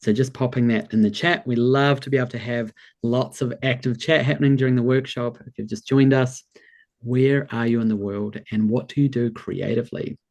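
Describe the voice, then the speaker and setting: A man with a New Zealand accent hosts a live online workshop, his voice sitting at an ordinary middle pitch. He sounds enthusiastic and encouraging, warmly greeting people and reading their messages aloud over a video call. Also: steady tone, brisk. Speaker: workshop host and business coach. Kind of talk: upbeat webinar hosting.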